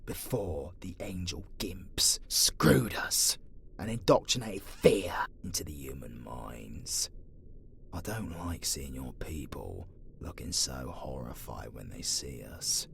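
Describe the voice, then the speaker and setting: An actor playing a captive demon, defiant and gruff but tender, with a British accent and a hushed, breathy, colloquial delivery in an ASMR roleplay. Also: sits low, slight background noise, heavily acted.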